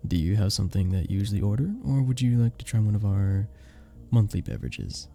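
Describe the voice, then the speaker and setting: A man playing a charming, flirtatious vampire bartender in an ASMR roleplay, speaking to the listener with teasing, unhurried pauses. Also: lowish voice, vocal fry, dark tone. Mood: courteous, flirtatious.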